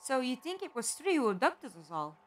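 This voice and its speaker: Casting: actress as plucky, practical, questioning female companion for audio drama; high voice, animated delivery. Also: brisk, a little hiss or hum. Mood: curious, probing. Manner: questioning, direct. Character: inquisitive, plucky, practical young woman companion.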